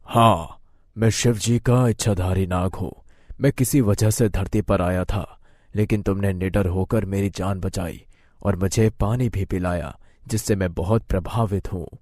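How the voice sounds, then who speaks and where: A man voicing a solemn, benevolent shape-shifting serpent spirit in a cartoon moral story, speaking in a grave, measured and gracious manner. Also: deep voice, steady tone, a little mellow, no echo, studio quality, pitched below the performer's own voice. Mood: solemn, grateful.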